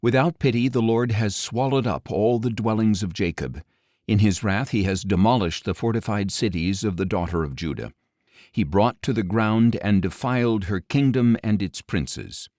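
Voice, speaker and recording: A man reading scripture as an audiobook narrator in a solemn, grave, measured delivery with deliberate pauses. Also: fairly low pitch, expressive, a little mellow, no echo, studio quality.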